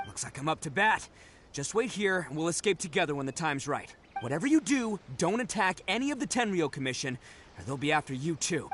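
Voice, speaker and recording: An actor playing a brash, big-hearted young oni gang boss in a video game, boisterous, slangy and cocky, with music behind. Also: male voice, a little high, very animated, brisk, a bit bright, slight background noise. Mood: determined.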